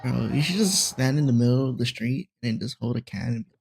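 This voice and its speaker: A man's voice: mid-range voice, fairly quick, vocal fry, a little mellow, low-quality recording. A man chatting casually on a livestream in a teasing, slangy way, saying bro often. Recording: music playing behind the voice. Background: video game music.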